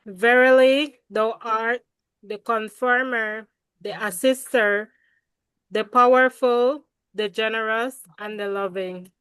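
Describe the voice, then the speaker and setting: A woman recites a devotional prayer over a video call, unhurried and reverent. Her voice is smooth and clear, pitched a little high. The sound is dry and close, with no room echo. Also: a bit bright.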